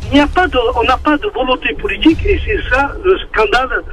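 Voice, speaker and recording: A man with a southern French accent calling into a radio show, speaking earnestly with many halting restarts over a muffled phone line. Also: very high-pitched, animated, fairly bright tone, slight background noise. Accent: southern French, Marseille-like. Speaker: caller. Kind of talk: phone-in opinion on air. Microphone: telephone.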